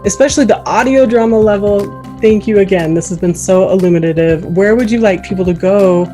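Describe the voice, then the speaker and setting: A woman's voice: mid-range voice, a little fast, mellow, soft background music. A woman hosting a podcast in an effusive, encouraging, chatty way. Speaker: podcast host. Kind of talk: warm, appreciative interview chat and sign-off.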